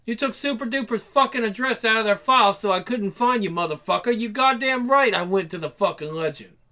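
A high, sharp-edged voice of an enraged comic-book man, acted by a male reader. He swears heavily and slangily, squaring up for a fight with every phrase. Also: expressive, a little fast, full character voice. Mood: furious. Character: furious foul-mouthed man confronting a powerful enemy. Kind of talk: comic book read-aloud with character voices.

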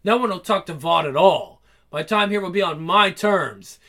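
A cruel, gloating comic-book villain, performed by a man with a high, piercing voice. He threatens and taunts crudely, relishing his power over everyone listening. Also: normal speed, bright, forceful tone. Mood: gloating menace. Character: sadistic foul-mouthed villain possessing a woman's body.

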